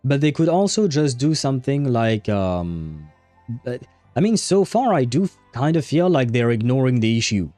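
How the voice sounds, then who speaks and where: A man's voice: medium pitch, sing-song, normal speed, mellow, dry recording, faint music behind. A man chatting casually on a gaming livestream, rambling and thinking aloud as he goes. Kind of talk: casual livestream chat about a game.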